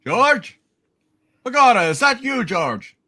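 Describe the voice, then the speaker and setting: A woman's voice: fairly high pitch, sing-song, slow, pushed and bright, full character voice. A woman playing a brash, exasperated but friendly male small-town cop in a hammed-up radio play reading. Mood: puzzled, exasperated.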